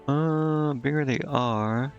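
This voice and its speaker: A man streaming a video game, casually thinking out loud and reading menu text to himself, with some offhand swearing. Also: medium pitch, slow pace, lo-fi sound, faint music behind. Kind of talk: casual live gameplay commentary, muttering and reading menu text aloud.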